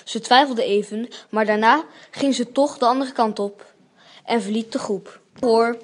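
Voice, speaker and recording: A woman's voice: a little high, steady tone, unhurried. A woman narrating a storybook-style tale in an amateur radio play. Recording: clearly noisy homemade recording with clicks.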